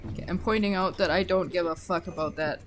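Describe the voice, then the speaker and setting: A woman's voice: fairly low pitch, fairly even intonation, fast, background noise. A woman commenting casually on a game she is playing, sarcastic and swearing often, laughing a lot. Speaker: let's play host.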